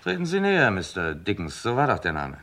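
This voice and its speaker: An actor playing a curt, authoritative chief inspector with dry courtesy, in a vintage German radio crime play. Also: male voice, low voice, huge pitch swings, fairly quick, pushed and bright, slight background noise, full character voice. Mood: brisk, businesslike. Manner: curt, commanding, dryly courteous. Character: brisk, authoritative male police chief inspector. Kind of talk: 1950s-80s German radio crime drama acting.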